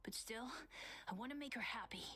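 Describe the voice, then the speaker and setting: A woman voicing a polite, wistful, dutiful young boy in a video game, gentle and apologetic. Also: a little high, fairly quick, slightly rough, exaggerated voice.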